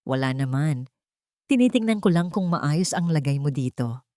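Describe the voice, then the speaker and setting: A woman's voice: deep voice, expressive, moderate pace, a little mellow, no room sound, studio quality. Woman voicing a melodramatic, scheming rich fiancée. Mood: cold menace. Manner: melodramatic, menacing or sobbing. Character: scheming, jealous rich fiancée, cold and tearful.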